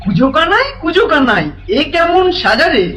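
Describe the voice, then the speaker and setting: A man's voice: extremely high pitch, very animated, moderate pace, pushed and bright, heavily acted. A man playing a mischievous, mocking young village lad in a fairy tale, needling with sing-song taunts. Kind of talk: animated fairy-tale voice acting.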